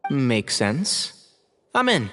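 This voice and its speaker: A man's voice: mid-range voice, wildly swooping pitch, drawn-out words, bright, forceful tone, faint music behind, heavily acted. An actor playing a mild, youthful male former student with a hesitant manner in a video game. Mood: taken aback, agreeable.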